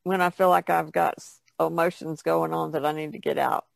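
A woman who paints, chatting casually in a halting, thoughtful way. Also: sits low, flat delivery, creaky, dry recording. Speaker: painter being interviewed. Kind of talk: casual chat.

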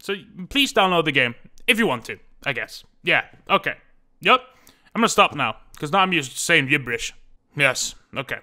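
A man's voice: a little high, sing-song, normal speed, sharp tone. A young man, a gaming YouTuber, making a jokey, mock-boastful sponsor plug that rambles on. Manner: jokey, self-aggrandizing, rambling.

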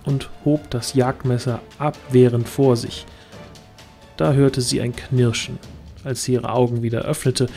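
A man narrates a fantasy audio drama from a script. His mid-pitched voice is mellow and dark, with faint music underneath.

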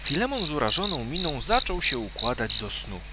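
A man narrates a children's tale with a very animated, swooping delivery. He leans on words theatrically and pauses for suspense, over loud night noise of crickets and frogs. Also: mid-range voice. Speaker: storyteller. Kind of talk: scripted children's story narration.

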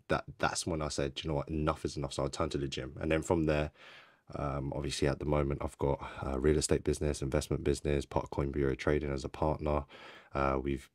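Very deep-voiced British man. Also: a little mellow, no echo, studio quality. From United Kingdom. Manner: earnest and reflective. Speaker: guest trader. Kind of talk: interview storytelling. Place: home room. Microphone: boom-arm mic on a video call.

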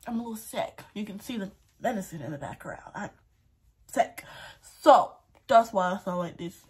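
A woman's voice: medium pitch, lively intonation, normal speed, slightly rough. A woman in her twenties with a stuffy, sore-throated voice, singing along to songs and chatting casually in her bedroom on an earbud mic. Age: 20s. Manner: chatty, sings loudly off-key while wearing headphones. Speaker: YouTuber. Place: bedroom, indoors. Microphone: earbuds with inline mic, phone camera at arm's length.

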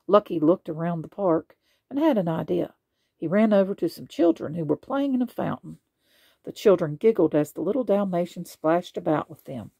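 A woman reads a children's storybook aloud with expression. Her voice is husky and gravelly, and its pitch moves around in a lively way. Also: no echo, clean studio sound. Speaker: storybook reader.

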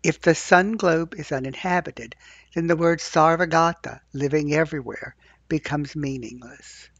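Mid-pitched, dry-sounding scripture reader. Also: moderate pace, a bit bright, no echo, muffled recording. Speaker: scripture class reader and host. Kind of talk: reading scripture aloud, with casual chat. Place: voice chat in an online virtual world.

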